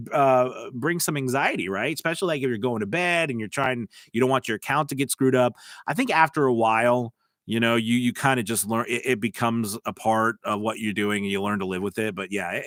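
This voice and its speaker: American male live-stream host, mid-pitched, casual coaching tone, dry close sound. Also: a bit bright, no room sound. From United States. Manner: loose and talkative, many restarts and fillers, reads chat aloud.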